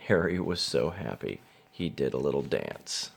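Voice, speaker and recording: Male storybook narrator, low and gravelly, with lively swings in pitch. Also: moderate pace. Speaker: father reading a picture book. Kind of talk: children's storybook narration read aloud.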